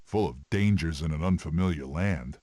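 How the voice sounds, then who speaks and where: An actor playing a gruff, sardonic male animal in an animated film, telling stories with dry sarcasm. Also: extremely low pitch, normal speed, a little hiss or hum.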